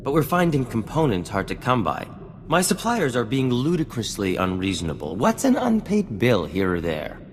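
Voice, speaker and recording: Flamboyant, vain scientist, swooping pitch. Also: male voice, huge pitch swings, moderate pace, in a large hall, slight background noise. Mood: wheedling, courtly. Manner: grandiose, florid, courtly phrasing. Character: flamboyant, vain, charming male scientist and self-styled genius. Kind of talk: scripted video game acting. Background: music.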